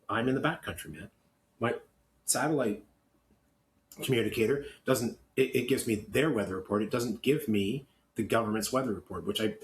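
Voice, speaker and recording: A Canadian man co-hosting a podcast in casual, opinionated chat, blunt and grumbling, often halting and repeating words. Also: medium pitch. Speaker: podcast co-host. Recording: clear background noise.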